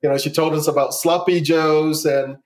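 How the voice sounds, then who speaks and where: A man's voice: on the high side, little pitch movement, moderate pace, a little hiss or hum. A man in his forties from Ghana, telling his life story as a guest in a casual interview and often repeating words.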